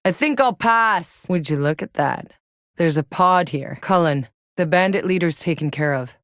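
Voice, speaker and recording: Low-voiced, wry woman mercenary played by an actress. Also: dry recording, studio quality, close to an everyday voice. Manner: wry, blunt, colloquial. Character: tough, sardonic woman mercenary on an alien colony. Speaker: video game protagonist voice actor. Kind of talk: scripted game dialogue.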